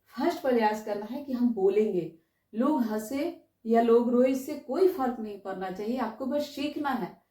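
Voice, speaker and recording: A woman's voice: on the high side, normal speed, dark tone. An Indian woman giving a spoken English class, explaining to students, repeating key phrases and checking in with rhetorical questions. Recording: roomy echo, distant microphone.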